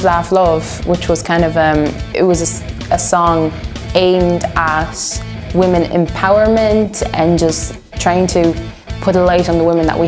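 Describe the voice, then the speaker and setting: A woman of twenty-one, talking casually and earnestly in an interview, giving her opinions. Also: fairly low pitch. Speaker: music student and band musician being interviewed.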